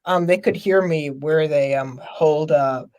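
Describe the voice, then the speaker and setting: A man from Minnesota hosting a casual live stream, talking loudly and laughing often. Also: on the high side, measured pace, clear, resonant tone. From Minnesota, USA. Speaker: podcast host.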